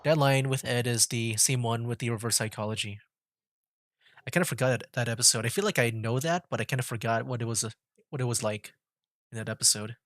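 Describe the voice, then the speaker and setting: A man casually commentating on a game livestream in a hesitant, halting way, often repeating himself. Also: mid-range voice, fairly bright tone, no room sound, clean studio sound. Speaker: streamer playing a video game. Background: game music.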